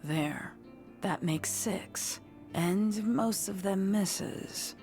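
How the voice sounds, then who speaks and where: A woman reading a scripted horror story as narrator, measured and suspenseful in her dramatic delivery. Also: medium pitch, darkish tone, faint music behind.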